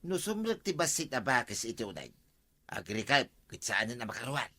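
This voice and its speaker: A man's voice: medium pitch, sing-song, brisk, bright, forceful tone. A man playing a doubting, quarrelsome village sidekick with an Ilocano accent in a radio comedy drama. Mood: bantering.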